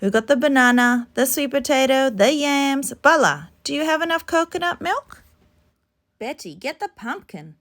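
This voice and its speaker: A woman's voice: high voice, expressive, fairly bright tone, pitched above the performer's own voice. A woman voicing a busy, bossy, particular older island woman in a storybook. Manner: emphatic, hurried commands.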